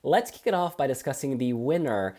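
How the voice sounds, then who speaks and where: A woman with a strikingly deep voice co-hosts a relaxed review chat. Her talk is chatty and opinionated, and her pitch rises and falls a great deal. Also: a little fast. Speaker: co-host.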